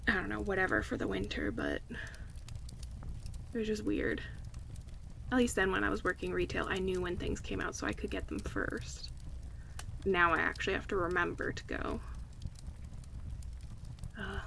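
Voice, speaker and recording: A woman playing an affectionate, playful close friend in a casual, relaxed ASMR roleplay, chatting and teasing in a conversational way. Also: mid-range voice, vocal fry, a little hiss or hum, full character voice. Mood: relaxed, chatty.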